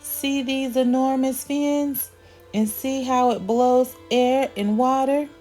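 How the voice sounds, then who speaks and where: Woman doing old male professor, storybook, shouting, alarmed and awed, raised pitch, slow and emphatic. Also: fairly even intonation, soft background music.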